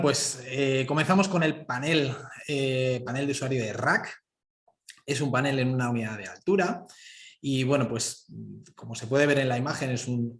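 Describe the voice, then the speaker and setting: Male product presenter, mid-pitched, roomy echo, hesitant explanatory delivery for a technical webinar. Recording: roomy, echoing voice.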